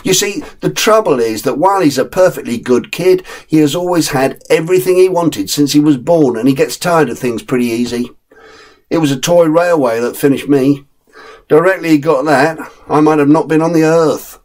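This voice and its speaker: A man's voice: on the high side, normal speed, a little mellow, voice pushed up, natural voice. A man voicing a friendly, streetwise male terrier who gives blunt, knowing advice.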